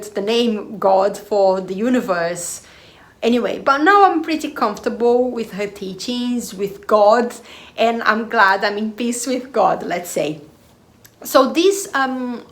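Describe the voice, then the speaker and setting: A woman whose first language is Portuguese, talking informally to her viewers as a channel host, often searching for words. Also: on the high side. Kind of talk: informal personal talk to viewers.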